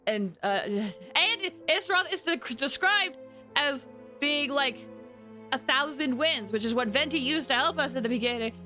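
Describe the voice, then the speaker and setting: A woman streaming a game with animated, rambling commentary and dramatic outbursts. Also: sits high, a little slow, faint music behind. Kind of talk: excited live gameplay commentary and theorizing.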